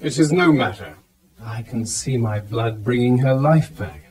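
An actor playing a devoted, anxious gentleman suitor in a radio drama. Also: mid-range voice, unhurried, lightly acted, rough recording.

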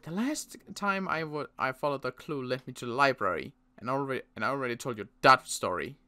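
A young man playing a protective survivor in an in-character roleplay, talking in a halting way with frequent restarts. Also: mid-range voice, expressive, sharp tone. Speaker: roleplay series player.

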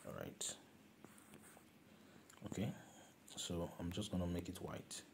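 Low-voiced man explaining design steps. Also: sing-song, takes it slowly, gravelly, a little mellow, slight background noise. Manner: instructive, repeats steps, calls objects "this guy". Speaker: design tutor. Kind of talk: screen-recorded software tutorial.

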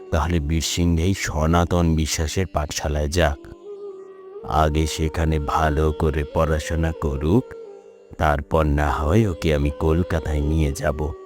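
Man playing a very deep, measured village father. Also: little pitch movement, over music. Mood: thoughtful. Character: caring village father, respectful and earnest.

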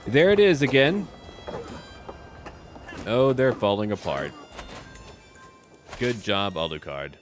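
A man's voice: lowish voice, lively intonation, slow pace, pauses often. A man giving casual game commentary with light role-play, musing aloud in short, clipped remarks. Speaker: gaming YouTuber. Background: background music.

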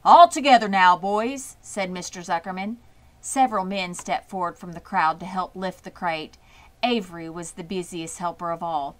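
A woman's voice: fairly low pitch, steady tone, normal speed. A woman narrating a children's book as a scripted read-aloud. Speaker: storybook reader.

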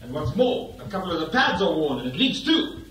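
Man playing a high-voiced touchy amateur musician. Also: very animated, a little fast, echoey room, distant mic, noisy background. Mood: indignant. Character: touchy, defensive amateur saxophone player.

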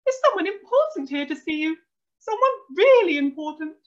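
Storybook mum, played by a woman: high, squeaky, excitable and bossy, frantic scolding delivery, slightly lo-fi sound. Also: normal speed. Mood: excited. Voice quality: squeaky, shrill. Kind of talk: children's storybook character voice.

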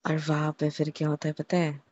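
A young man in an intimate, casual phone chat, telling a romantic scenario haltingly, repeating words and mixing in English. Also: fairly high pitch, completely flat delivery, darkish tone, no room sound. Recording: muffled lo-fi phone call recording.

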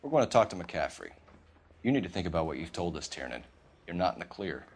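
An actor playing a young, hard, pressing American police detective from the 1940s, clipped and accusatory in a dramatic video game. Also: male voice, sits low, sing-song, fast, a little hiss or hum. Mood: stern.

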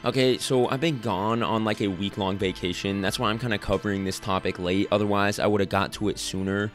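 A young man with a slightly hoarse voice giving casual, scripted commentary as a channel host, with a lot of "like" fillers. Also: lowish voice, fairly even intonation, brisk. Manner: casual, filler-heavy with frequent "like". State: slightly hoarse from losing his voice. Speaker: commentary YouTuber. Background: soft background music.

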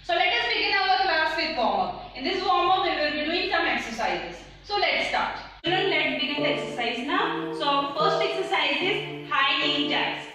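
A young woman teaching a children's fitness class, speaking in slow, simple phrases and counting out exercises. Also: high-pitched, lively intonation, pushed and bright, faint music behind. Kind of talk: instructional class, counting reps. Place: large bare room indoors. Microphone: far camera. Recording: echoey bare room from far off.

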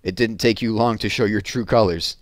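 A man voicing merrily amazed old elephants from a storybook, loud and exclaiming. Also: on the low side, steady tone, a little fast, no echo, clean studio sound, natural voice. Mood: amazed, merry.

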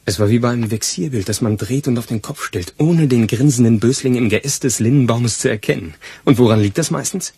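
A man's voice: medium pitch, a little fast, gravelly, few pauses, mellow, husky, no echo. An actor playing an eager, deferential police detective who spins wordy theories to his boss in a German radio crime play. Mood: eagerly explaining.

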